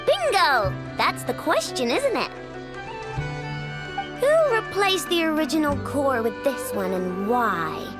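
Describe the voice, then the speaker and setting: Very high, excitable girl inventor. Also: slow, loud music behind the voice, exaggerated voice. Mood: excited, proud. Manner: exclamatory, bubbly, boastful. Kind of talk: video game voice acting.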